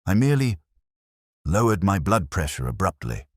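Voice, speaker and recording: Man voicing a hoarse, put-upon small-town dentist. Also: low-pitched, sing-song, moderate pace, no echo, studio quality, pitched above the performer's own voice. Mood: stiff, defensive. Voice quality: hoarse, croaking. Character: frail, bewildered male dentist, an anxious scapegoat.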